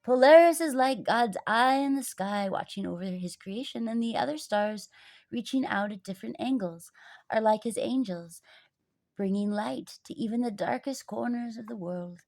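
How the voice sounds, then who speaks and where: A woman's voice: on the high side, normal speed, vocal fry, a little mellow, dry recording. Woman reading as wise, reverent storybook grandfather. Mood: reverent teaching.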